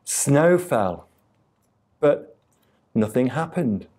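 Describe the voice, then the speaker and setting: A man reads a children's story aloud in a relaxed, mellow voice. He takes his time over each phrase and delivers the tale expressively, as for young listeners at storytime. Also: medium pitch. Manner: deliberate, expressive reading with acted sound effects. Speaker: storyteller reading a picture book aloud to children.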